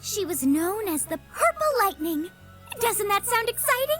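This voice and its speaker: A dainty young game noblewoman with an extremely high, swooping voice. She is playful and refined, and music plays behind her. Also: very animated, normal speed. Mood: delighted. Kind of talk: scripted game cutscene acting.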